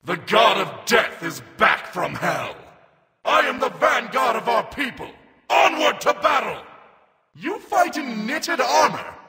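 A man's voice: very high-pitched, big pitch swings, slow, creaky, bright, forceful tone, in a large hall, full character voice. A man voicing a proud, warlike armored mecha god of death for a video game, declaiming grandiose battle cries. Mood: scornful, taunting. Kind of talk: video game character voice lines.

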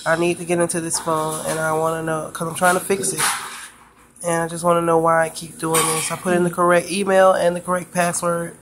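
A woman casually narrating a phone screen recording, flatly describing a sign-in problem and asking viewers for help. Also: lowish voice, fairly quick, background noise. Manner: flat, frustrated help request. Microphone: phone camera filming a handheld screen.

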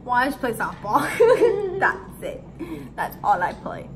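A sixteen-year-old girl from Arizona, co-hosting a casual Q&A vlog at home, relaxed and giggly as she reads out questions. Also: fairly high pitch, slow, slight background noise. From Arizona, USA. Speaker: co-host of a YouTube channel. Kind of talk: casual Q&A chat with a friend. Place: living room at home. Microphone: camera in front of two seated girls.

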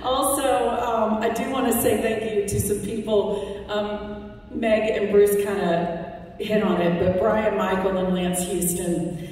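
A woman's voice: medium pitch, steady tone, a little slow, darkish tone, a little hiss or hum. A woman radio host giving a heartfelt award acceptance speech with wry jokes, speaking at a podium in a large banquet hall. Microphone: podium microphone, recorded from the audience. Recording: echoey hall recorded from far off.

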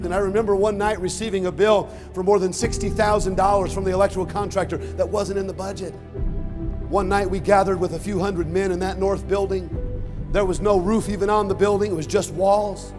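A man's voice: sits high, steady tone, brisk. A man who is a pastor, recalling the church's early years in an earnest, reflective interview. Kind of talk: documentary interview recollection. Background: soft background music.

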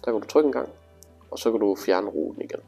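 A man's voice: fairly low pitch, gravelly, warm tone, husky, faint music behind. A man giving a casual, hands-on tutorial, explaining things informally step by step. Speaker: instructor demonstrating motorcycle display.

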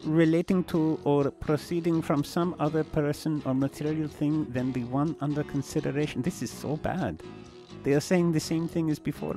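A flustered cartoon fellow with a raised, creaky pitch. He reads haltingly, as if puzzled by every phrase, and complains in hesitant exasperation.

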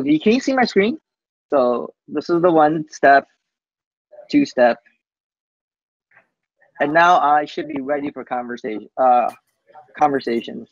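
A man giving a casual live software demo on a team call, speaking haltingly and often tacking "basically" onto sentences. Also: mid-range voice, no room sound. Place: coworking space, on a group video call. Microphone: video call microphone. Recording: muffled video call audio.

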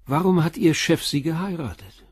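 A man's voice: on the high side, normal speed, darkish tone, husky, no room sound, clean studio sound. An actor playing a stern, probing police inspector in a radio drama, pressing his questions bluntly. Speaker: police commissioner.

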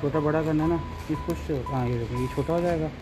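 A man's voice: on the high side, rapid-fire, rough recording. Shop guy walking a customer through skate sizes, caught on her phone, music in the background. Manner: explains products step by step. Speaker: shopkeeper. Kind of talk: sales talk with a customer. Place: shoe shop in a large indoor mall.

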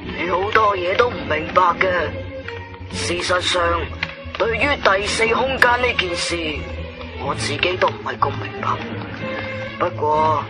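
An actor playing a secretive, intense scientist in a radio drama, speaking with a Guangzhou Cantonese accent in an emphatic, explanatory way. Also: male voice, high voice, a little slow, over music. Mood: grave, revealing. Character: secretive, intense male scientist revealing his discovery. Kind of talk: dramatic radio play dialogue.

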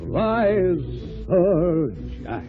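An actor playing a noble, pompous leader of knightly knives, grand and commanding in old-fashioned radio style. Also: male voice, high voice, animated, extremely slow, music in the background, exaggerated voice. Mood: courtly. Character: noble pompous leader knife of a square table. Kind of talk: 1940s radio acting. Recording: tinny old radio recording.

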